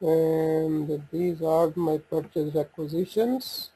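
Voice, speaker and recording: A man with a slightly husky voice giving an unscripted software walkthrough, hesitant and thinking aloud as he goes. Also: fairly high pitch, flat delivery, slow, rough recording. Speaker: software demonstrator. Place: voice-over on a screen recording.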